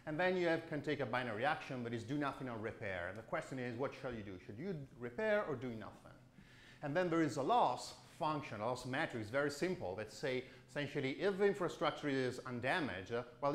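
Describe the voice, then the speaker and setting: A man in his forties giving a conference keynote lecture, halting with many fillers and restarts, in a chalkboard lecture room. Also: mid-range voice, a bit bright, reverberant. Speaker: keynote speaker.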